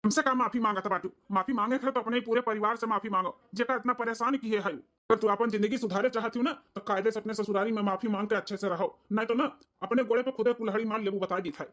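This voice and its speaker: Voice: mid-range voice, fairly even intonation, fast talker, fairly bright tone, close to an everyday voice. A performer voicing a stern village father in an Awadhi cartoon comedy, lecturing reproachfully with an Awadhi accent. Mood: stern reproach. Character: stern village father, apologetic to in-laws, scolding his daughter.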